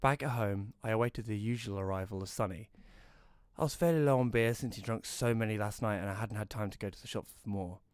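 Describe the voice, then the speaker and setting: A man's voice: on the low side, a little fast, darkish tone, smooth, no room sound, close to an everyday voice. A British man playing a wry, grumbling advice columnist who narrates an audio drama in a conversational first-person style. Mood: wry narration. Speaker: narrator and lead character.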